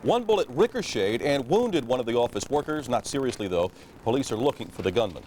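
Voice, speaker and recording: Lively-pitched American male anchor. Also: a little fast, a little hiss or hum, poor audio. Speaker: news anchor. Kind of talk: scripted news delivery.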